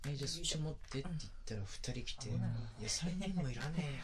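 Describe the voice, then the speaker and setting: A man's voice: mid-range voice, fast, noisy background, lightly acted. A young man playing playful, clingy quadruplet brothers in an improvised ASMR voice drama, chattering casually and affectionately in a boyish manner. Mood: bemused. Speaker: voice actor. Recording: overlapping voices behind.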